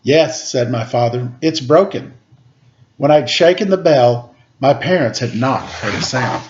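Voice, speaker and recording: A man's voice: medium pitch, animated, a little slow, a little mellow, highs cut off, a little hiss or hum. A man reading a children's picture book aloud as a storyteller. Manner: acts out quoted dialogue.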